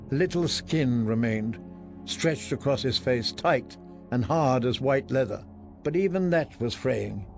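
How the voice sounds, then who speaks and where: A man narrating fantasy prose in a dramatic audiobook style, with measured, deliberate delivery. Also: mid-range voice.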